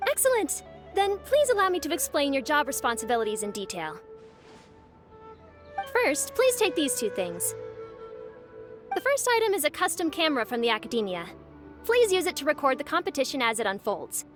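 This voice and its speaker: A woman's voice: sits high, big pitch swings, moderate pace, long pauses, over music. An actress playing a polite, eager female event organizer who explains duties in a courteous, businesslike way, in video game dialogue. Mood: welcoming.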